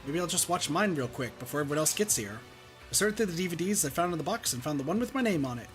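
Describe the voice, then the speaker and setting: A man's voice: fairly high pitch, very animated, quick pace, a bit bright, soft background music. A man playing an anxious, earnest teenage schoolboy, dramatically narrating a visual novel. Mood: uneasy. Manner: dramatic, intense. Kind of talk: reading visual novel aloud in character.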